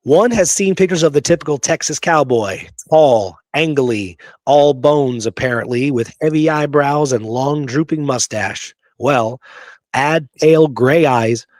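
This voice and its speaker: A man's voice: mid-range voice, a little slow, no echo. Man talking history, very dry close sound. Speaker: podcast co-host. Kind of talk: conversational history talk, reading quoted passages and commenting.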